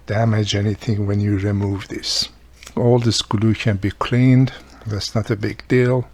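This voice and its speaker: A man's voice: mid-range voice, slightly rough. A man with a non-native English accent giving a casual hands-on repair tutorial, explaining each step plainly as he works. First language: not English. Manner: plain-spoken, explains step by step while working. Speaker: radio repairer demonstrating a restoration.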